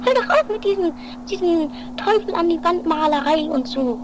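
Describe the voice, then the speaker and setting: A woman playing an anxious, high-strung, pleading woman in an improvised trashy radio play, with theatrical, plaintive delivery. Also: expressive, normal speed. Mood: chiding, reminiscing. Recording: very noisy 1980s home-made recording.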